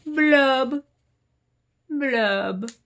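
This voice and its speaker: A woman's voice: high-pitched, drawn-out words, fairly bright tone, highs cut off, voice pushed up, heavily acted. A woman voicing a glum, gloomy male fish in a storybook, with a mournful sing-song delivery. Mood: glum chant.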